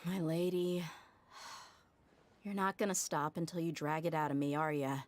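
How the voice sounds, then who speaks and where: An actress playing a tough, blunt mercenary leader in a game cutscene, frank and guarded with casual slang. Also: female voice, low-pitched, normal speed, a little hiss or hum, lightly acted.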